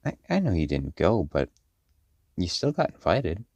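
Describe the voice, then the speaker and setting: Man playing a deep, gravelly, protective werewolf dad. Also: big pitch swings, no room sound, heavily acted. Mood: firm, parental. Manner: measured, parental, gently firm. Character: firm, protective werewolf father, caring but stern. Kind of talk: audio roleplay acting addressed to a child.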